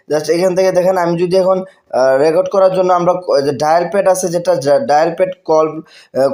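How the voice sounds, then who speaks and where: A man's voice: sits high, a little mellow. A man with a Bangladeshi accent hosting a casual tech tutorial, repeating phrases and mixing in English words. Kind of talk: casual spoken screen-recording tutorial.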